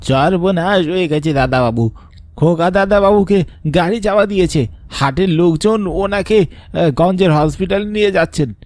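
Agitated high-voiced servant played by a man. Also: normal speed, darkish tone, no room sound, close to an everyday voice. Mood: alarmed. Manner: agitated, calling out. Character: alarmed male household servant bearing terrible news. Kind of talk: cartoon voice acting.

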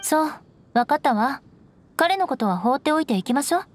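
An actress playing a proud, composed young female knight of noble birth in a Japanese anime game, speaking in a poised, measured, aloof way. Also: sits high, expressive, a little hiss or hum. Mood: cool, restrained. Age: young adult.

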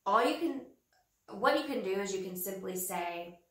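A woman's voice: mid-range voice, animated. A woman in her thirties, a little nasal from a cold, talking earnestly and hesitantly to the camera from a small home office. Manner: earnest, slow and hesitant with many fillers. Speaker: vlogger. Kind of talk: casual talk to camera. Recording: roomy, slightly distant camera audio.

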